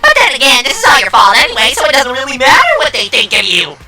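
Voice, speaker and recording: A woman's voice: medium pitch, very animated, moderate pace, bright, forceful tone, faint music behind. A woman voicing a gleefully sadistic, theatrical teenage girl villain in an anime fan dub, taunting and melodramatic. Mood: gloating, manic. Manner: taunting, melodramatic, mock-sweet.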